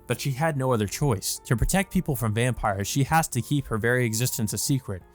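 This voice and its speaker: A man's voice: mid-range voice, fairly quick, soft background music, natural voice. A man voicing an earnest young male student who narrates his thoughts in a visual novel. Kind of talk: visual novel monologue read aloud.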